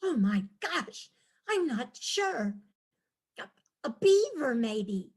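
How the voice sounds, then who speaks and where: A woman's voice: high-pitched, takes it slowly, slightly rough, heavily acted. A woman playing a cheerful New York wife charmed by the countryside, chatty and dreamy, in a staged reading of a comic play. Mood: curious. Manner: chatty, dreamy, easily excited.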